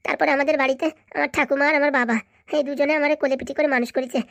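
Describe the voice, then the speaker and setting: Wily cartoon village man played by a woman: high-pitched, placating, long-winded, very quick. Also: fairly even intonation, creaky, fairly bright tone, no echo. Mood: sorrowful storytelling. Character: pleading, talkative male visitor, wily and persistent. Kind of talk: comic cartoon dialogue.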